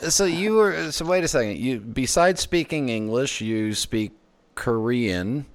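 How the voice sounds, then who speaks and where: A man hosting a podcast interview, relaxed and quick to laugh along with his guest. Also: medium pitch. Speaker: podcast host. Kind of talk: casual interview chat.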